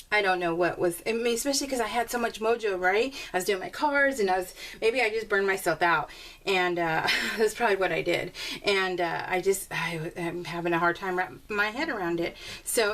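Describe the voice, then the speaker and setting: A woman vlogger chatting casually and ramblingly as she crafts, telling everyday stories in an informal, giggly, animated way. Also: medium pitch, far from the mic. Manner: giggly, animated storytelling with exclamations. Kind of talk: casual rambling chat while crafting, voiced in real time.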